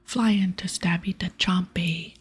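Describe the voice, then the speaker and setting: A woman voicing a crude, hungry ogre hunter in a breathy whisper, with childlike broken grammar, for an ASMR game playthrough. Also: lowish voice, steady tone. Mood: eager, demanding. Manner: broken baby-talk grammar, drawn-out words.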